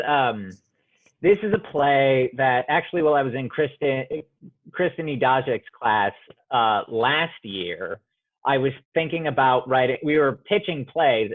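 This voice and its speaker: Young male interviewee, thoughtful and halting, feeling out each phrase; close dry sound, somewhat lo-fi. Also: medium pitch, dry recording. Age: young adult. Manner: halting, searching for words, frequent "like". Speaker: student playwright being interviewed. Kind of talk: reflective interview talk.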